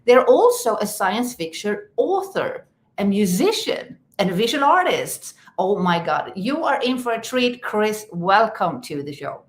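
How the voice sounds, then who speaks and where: A woman's voice: medium pitch, big pitch swings, a little slow. A woman hosting a live stream podcast, welcoming her guest in an enthusiastic, effusive way. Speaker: podcast host. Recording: echoey room.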